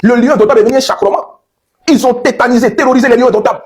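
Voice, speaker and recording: A man's voice: extremely high pitch, fairly even intonation, very fast. A man. He sounds indignant and mock-outraged, like a casual social media commentator. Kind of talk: animated casual rant.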